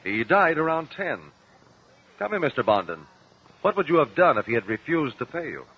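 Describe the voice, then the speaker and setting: An actor playing a composed attorney in a radio courtroom drama, questioning witnesses in a measured, persistent way. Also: male voice, fairly high pitch, very animated, husky, full character voice. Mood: probing. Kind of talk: old-time radio courtroom drama acting. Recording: dull, noisy old radio recording.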